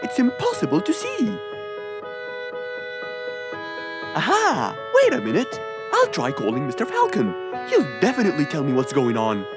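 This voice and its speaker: A woman voicing a genial, curious male fox in an expressive children's audio story, full of exclamation. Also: fairly low pitch, fairly quick, music in the background. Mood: puzzled.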